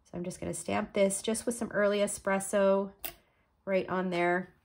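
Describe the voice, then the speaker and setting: A woman's voice: medium pitch, flat delivery, moderate pace, gravelly, slight background noise. A woman from Canada explaining a card-making project step by step while she works, a little stuffed up from a cold. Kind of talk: crafting tutorial.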